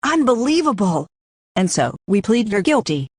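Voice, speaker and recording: A man voicing an outraged young female witness in a high, shrill put-on voice. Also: very animated, moderate pace, slightly rough, dry recording, clean studio sound, full character voice.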